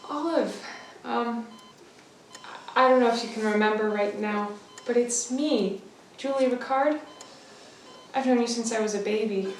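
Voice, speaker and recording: An actress playing a devoted, caring nurse in a radio thriller. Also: female voice, a little high, measured pace. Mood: tender. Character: devoted, caring female nurse and old friend. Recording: very echoey, distant mic, faint noise.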